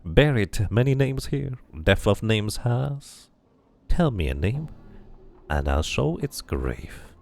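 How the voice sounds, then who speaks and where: A man's voice: fairly low pitch, big pitch swings, slow, creaky, darkish tone, heavily acted. A man voicing an eerie, crooked-smiled keeper of dead names in a fantasy game, with a cryptic, repetitive sing-song delivery. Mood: eerie, insistent.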